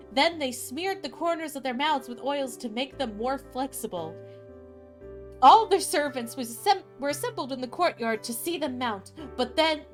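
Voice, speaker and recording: Woman, high-pitched, lively intonation, fairy-tale streamer, informal and expressive, music underneath. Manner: expressive, informal, candid asides. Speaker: streamer reading fairy tales aloud. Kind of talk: casual livestream chat and storybook narration.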